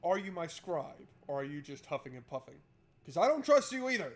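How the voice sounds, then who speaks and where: A male gaming streamer with a slightly high voice reads story text aloud. He breaks off into wry, playful commentary in a casual way. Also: big pitch swings, a little fast. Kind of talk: let's play, reading aloud and joking commentary.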